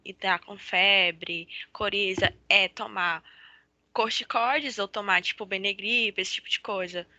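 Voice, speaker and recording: A woman's voice: medium pitch, steady tone, measured pace, sharp tone, no room sound. A woman student asking the lecturer a hesitant question during a live class.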